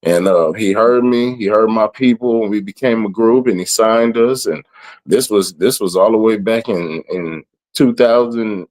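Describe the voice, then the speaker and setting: Raspy man, low-voiced rapper. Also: a little slow, rough recording. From southern United States. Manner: relaxed, rambling, repeats words, says "you know". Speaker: rapper and event planner. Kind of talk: casual interview.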